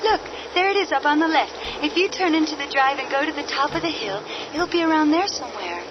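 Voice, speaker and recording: A woman's voice: high voice, animated, fast talker, noisy background. An actress playing an anxious young woman, nervous and pleading, in a radio drama. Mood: uneasy. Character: young woman, anxious sister, easily frightened. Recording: dull radio drama with music.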